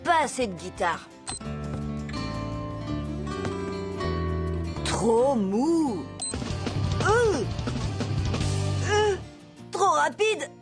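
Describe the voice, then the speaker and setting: A woman's voice: high-pitched, big pitch swings, drawn-out words, loud music behind the voice, heavily acted. A woman voicing an eager, scheming cartoon schoolboy who chats expressively and confides in the viewer. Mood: picky. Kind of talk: French animated series dub, narrating to viewer.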